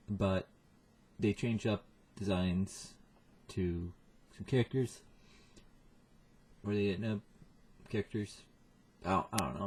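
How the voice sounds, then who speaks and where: A man hosting a reaction video with casual, halting, self-deprecating commentary in a husky voice. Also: lowish voice, noisy background.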